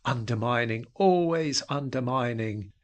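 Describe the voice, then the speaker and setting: A man's voice: mid-range voice, a little slow, natural voice. A man playing a bitter, obsessive man who mutters and fumes with hatred in an audio drama. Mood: seething hatred. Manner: muttering, fuming.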